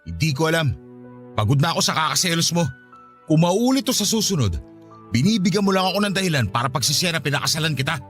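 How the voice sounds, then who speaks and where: A man's voice: a little high, big pitch swings, fast, a bit bright, soft background music. A man playing an exasperated, wounded husband, emotional and confrontational, in a radio drama. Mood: bitter, weary. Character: exasperated, wounded husband, defiant and weary of distrust. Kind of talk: radio drama dialogue acting.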